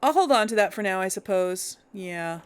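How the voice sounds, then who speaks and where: A woman's voice: mid-range voice, lively intonation, moderate pace, smooth. A woman hosting a casual gameplay video, chatting and thinking aloud with frequent giggles. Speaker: let's play host.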